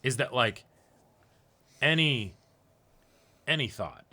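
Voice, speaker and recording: Bright-voiced man in casual discussion. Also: medium pitch, expressive, slow pace. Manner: informal, swears mildly, rephrases as he goes. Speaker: co-host of an anime reaction show. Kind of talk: casual discussion of an anime episode.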